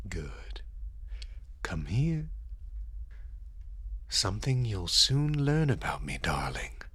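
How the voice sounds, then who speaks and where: A man's voice: low-pitched, fairly bright tone, no echo, exaggerated voice. A man playing a seductive, dominant and sadistic vampire in an intimate ASMR audio roleplay, coaxing and condescending, often dropping into whispers. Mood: cold, commanding. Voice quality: breathy, husky.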